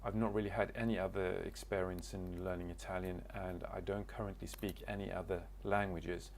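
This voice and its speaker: A man's voice: deep voice, little pitch movement, brisk, darkish tone, slight background noise. A man from Cornwall, introducing himself to camera in a casual, hesitant way, often stopping to search for words. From Cornwall, England. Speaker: language learner.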